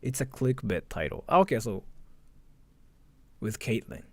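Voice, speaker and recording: A man's voice: medium pitch, expressive, measured pace, darkish tone. A young man reacting casually to a video on a livestream, using a lot of slang and hesitantly repeating himself. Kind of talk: casual live reaction commentary.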